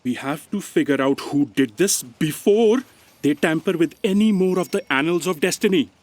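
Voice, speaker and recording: A woman playing a pedantic, deadpan editorial assistant in a sci-fi comedy audio drama, speaking in a measured way. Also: lowish voice, no echo. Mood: grave.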